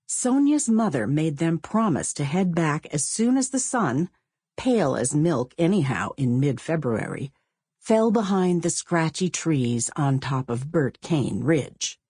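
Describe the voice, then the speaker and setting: Expressive low-voiced woman narrating, softly husky. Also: no echo, studio quality. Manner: measured, expressive storytelling. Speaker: audiobook narrator. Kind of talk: scripted literary narration.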